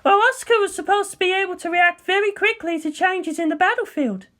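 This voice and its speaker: A woman's voice: very high-pitched, fast, fairly bright tone, voice pushed up. A woman voicing an anxious female military captain in a story, worried, informal and emphatic. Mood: worried, insistent.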